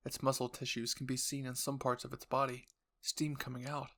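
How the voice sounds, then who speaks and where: Guy narrating a dramatic roleplay story, sharp forceful voice, earnest and theatrical. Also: medium pitch, little pitch movement, fairly quick. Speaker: narrator and voice performer of audio roleplay.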